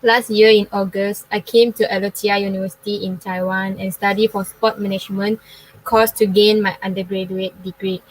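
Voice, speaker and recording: A young woman from Malaysia, a native Chinese speaker, reading a polite, measured self-introduction as a panelist at an online student forum. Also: mid-range voice, little pitch movement, poor audio. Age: young adult.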